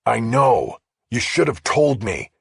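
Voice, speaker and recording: A man acts out a suspicious male journalist in an audiobook thriller. He is blunt and pressing with his questions, his voice very husky and recorded dry. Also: mid-range voice, very animated, slow, dry recording, pitched above the performer's own voice, exaggerated voice. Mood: accusing, heated.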